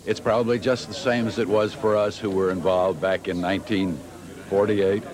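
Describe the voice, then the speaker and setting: Deep-voiced elderly man in a crowded room. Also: little pitch movement, normal speed. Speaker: retired talk show host interviewee. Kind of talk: reflective interview talk. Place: busy restaurant. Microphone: handheld interview microphone. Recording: clear crowd noise behind.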